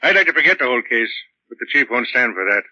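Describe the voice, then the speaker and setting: An actor playing a cynical, weary police detective with a blunt, sardonic manner in a 1940s radio drama. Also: male voice, medium pitch, animated, fast, bright, forceful tone. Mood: dry, resigned. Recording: dull lo-fi 1940s radio recording.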